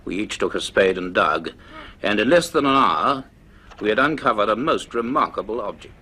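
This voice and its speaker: An actor playing a grave, dryly authoritative professor in a radio serial, speaking in a measured, formal way. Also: male voice, on the low side, expressive, bright, forceful tone, slight background noise. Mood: reflective. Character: grave, dryly authoritative male university professor recording his notes. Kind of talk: dramatised narration in a radio serial.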